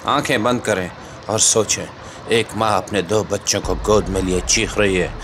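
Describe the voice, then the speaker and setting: A man's voice: fairly low pitch, fairly even intonation, moderate pace, background noise. A man with a Pakistani accent reads a scripted documentary-style commentary in a solemn, emphatic, rhetorical way. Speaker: host and narrator of a commentary channel. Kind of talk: scripted dramatic documentary voice-over. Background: background music.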